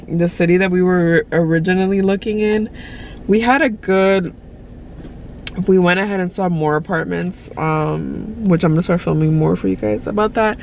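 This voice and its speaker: A woman's voice: lowish voice, darkish tone. A congested young woman vlogging in a parked car, chatting in a rambling way with many fillers, recorded on a phone held at arm's length. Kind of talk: casual chat to camera. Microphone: phone camera at arm's length. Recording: faint background noise in a car.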